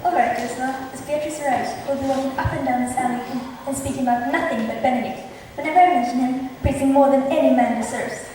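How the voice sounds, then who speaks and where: A woman's voice: high-pitched, fast talker, dark tone, lightly acted. A woman in a student drama club playing a scheming young noblewoman and bride-to-be on stage. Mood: commanding, scheming. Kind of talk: amateur stage acting. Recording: very echoey hall, distant mic, faint hiss.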